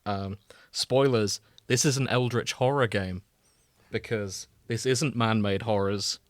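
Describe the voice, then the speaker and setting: Man, British accent, lowish voice, dry close sound, casual podcast explainer, wry, informal. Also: a little slow, dry recording. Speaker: podcast host explaining a video game.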